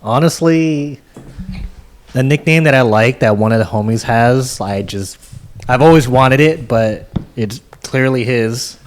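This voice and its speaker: A man's voice: medium pitch, measured pace. A man co-hosting a podcast and talking as a DJ in casual, slangy, earnest chat peppered with swearing. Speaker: podcast co-host and DJ.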